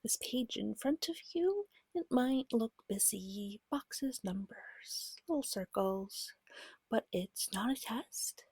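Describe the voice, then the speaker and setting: A woman speaking softly in a gentle, patient ASMR style, often slipping into a whisper as she guides a beginner in short, measured phrases. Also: on the high side, animated, no room sound. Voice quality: soft, breathy, often dropping to a whisper. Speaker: guide teaching a beginner tabletop game. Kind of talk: soft-spoken ASMR, slow guided explanation.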